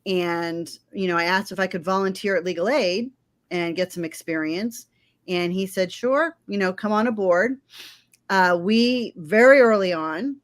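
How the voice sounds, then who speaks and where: Friendly woman in her fifties, lawyer. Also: on the low side. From Florida, United States. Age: 50s. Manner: friendly, measured, with frequent ums. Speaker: legal aid attorney. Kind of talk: interview answers.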